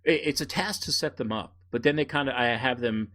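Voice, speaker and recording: A man's voice: medium pitch, fairly quick, a bit bright. A man chatting casually as a podcast co-host, in a rambling, self-deprecating way.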